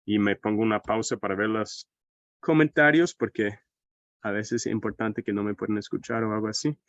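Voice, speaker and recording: A man's voice: fairly low pitch, steady tone, moderate pace, no room sound. American guy lecturing in spanish, thoughtful, keeps asking little questions, dull lo-fi call audio. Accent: American English. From United States. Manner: thoughtful, often tags phrases with a questioning aside. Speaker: seminar speaker. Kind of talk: online lecture presentation.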